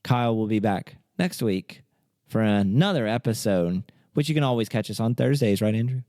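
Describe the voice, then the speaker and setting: A man's voice: fairly low pitch, expressive, normal speed, dark tone, no room sound, clean studio sound. A young American man co-hosting a podcast, chatting in an informal, conversational way. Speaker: podcast co-host. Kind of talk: casual chat.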